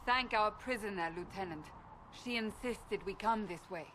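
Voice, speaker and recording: A woman's voice: medium pitch, normal speed, fairly bright tone, slight background noise, natural voice, low-quality recording. An actress playing a stern, resolute woman warrior who leads soldiers with a commanding, serious manner in a video game. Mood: brisk. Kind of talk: dramatic video game acting.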